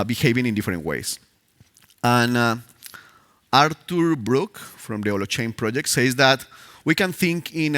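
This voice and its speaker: Man, Spanish accent, conference talk, bright tone, halting with fillers. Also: medium pitch. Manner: hesitant, many fillers and restarts. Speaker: conference speaker. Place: conference stage.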